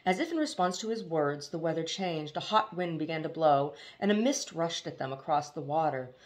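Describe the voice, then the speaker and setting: A woman reading a novel aloud as expressive storybook narration. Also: sits low, moderate pace. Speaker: audiobook reader.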